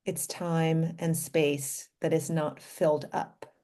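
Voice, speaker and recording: A woman leading a guided meditation in a gentle, inviting manner, speaking in short phrases with pauses between them. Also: deep voice, level tone.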